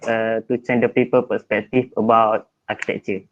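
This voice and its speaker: A young Malaysian man, a student, introducing himself slowly with many fillers. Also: fairly low pitch, flat delivery. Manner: slow, hesitant, many fillers. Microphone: video call. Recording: muffled lo-fi call audio.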